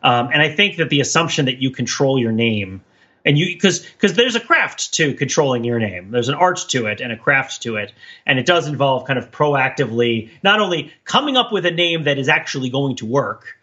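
A man with a medium-pitched voice co-hosts a casual, analytical podcast. He talks discursively, tagging points with "right?" as he goes. The audio is a little dull and lo-fi. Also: expressive, a little fast, bright, forceful tone. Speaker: podcast co-host.

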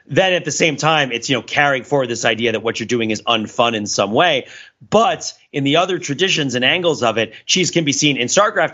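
A man's voice: medium pitch, pushed and bright. A man co-hosting a podcast, talking analytically and conversationally, explaining at length and building his points through examples. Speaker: podcast co-host. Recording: dull, lo-fi voice recording.

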